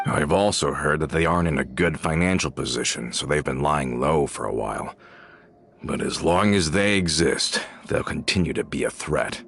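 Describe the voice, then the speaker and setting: A man's voice: extremely low pitch, normal speed, creaky, a bit bright, slight background noise, heavily acted. A man acting a polite, reserved character in a video game, with scripted dialogue delivery. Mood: somber.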